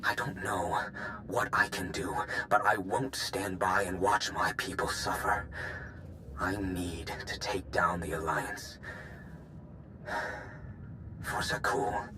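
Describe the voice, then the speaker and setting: A man's voice: sits low, takes it slowly, long pauses, background noise. A man playing an embittered former guardsman in a video game, grave, brooding and intense. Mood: grim resolve. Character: embittered former guardsman vowing revenge for his fallen empire. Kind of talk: dramatic video game monologue.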